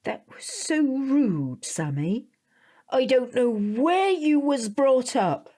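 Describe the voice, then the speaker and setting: A British woman playing a hapless, gently disapproving middle-aged mother in a comic audio drama, earnest and hesitant. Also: high-pitched, very animated, slow pace, exaggerated voice. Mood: wounded.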